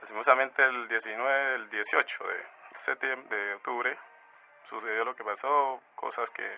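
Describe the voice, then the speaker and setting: A man, a bereaved father, giving interview testimony in halting speech that keeps restarting phrases. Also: mid-range voice, steady tone, creaky, narrow, tinny audio, faint music behind.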